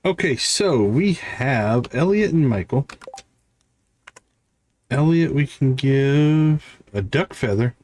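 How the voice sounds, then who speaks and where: A man's voice: mid-range voice, lively intonation, slow pace, long pauses, a little mellow. A man giving casual live gameplay commentary, thinking aloud and chatting with viewers as he plays. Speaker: live streamer playing a farming game.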